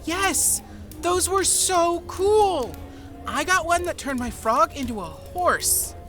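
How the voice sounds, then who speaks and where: A woman's voice: very high voice, animated, takes it slowly, heavily acted. A woman playing an upbeat, helpful fantasy shopper in a scripted comic podcast ad, enthusiastic and explanatory. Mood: excited. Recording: music behind the voice. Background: music.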